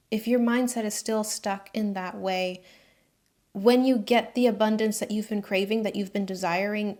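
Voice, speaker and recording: A young American woman in her twenties talks straight to camera about her design business. Her tone is chatty and candid, full of slangy asides and loose, conversational phrasing. Her voice sits at an ordinary middle pitch for a woman. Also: a little mellow. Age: 20s. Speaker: freelance designer. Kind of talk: casual talking-head vlog.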